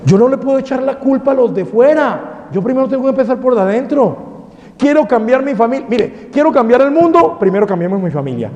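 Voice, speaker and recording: High-voiced priest preaching in a hall. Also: male voice, a little fast. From Colombia. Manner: animated preaching with rhetorical questions. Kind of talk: sermon. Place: parish hall. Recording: dull sound with long hall reverb.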